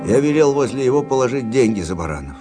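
A man's voice: medium pitch, big pitch swings, fast, warm tone, faint music behind, close to an everyday voice. An actor playing an older army captain who recounts his memories conversationally in a Russian radio drama. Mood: reminiscing. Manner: conversational, reminiscing storytelling.

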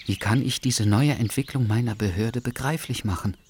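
An actor playing an earnest, easily flustered official investigator in a satirical radio play, officious and indignant in manner. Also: male voice, mid-range voice, moderate pace, a little mellow, slight background noise, natural voice. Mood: bewildered. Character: earnest, flustered male flight authority investigator.

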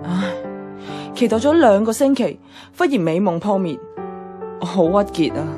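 A woman's voice: medium pitch, lively intonation, normal speed, lightly acted. Casting: actress as dramatic, lovelorn young office woman, Hong Kong Cantonese, wry confessional radio-play narrator, music bed. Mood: dejected. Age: young adult.

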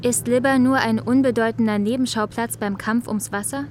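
An actress playing a composed, probing reporter who narrates a documentary-style radio play. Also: female voice, fairly high pitch, steady tone, normal speed, a little mellow, a little hiss or hum, lightly acted.

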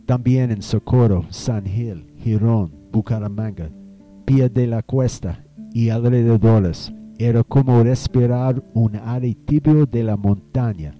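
An actor playing a proud, easily offended nineteenth-century German settler in a radio drama, speaking formally and deliberately like a storyteller. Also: male voice, medium pitch, lo-fi sound, soft background music, lightly acted. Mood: indignant, nostalgic.

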